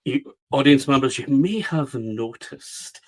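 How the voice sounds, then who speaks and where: Guest: earnest Scotsman, middle-aged, West of Scotland accent, mid-pitched, restarts mid-thought. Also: slow. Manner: earnest, repeats words as he restarts. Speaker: guest, publisher. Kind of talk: reflective storytelling interview.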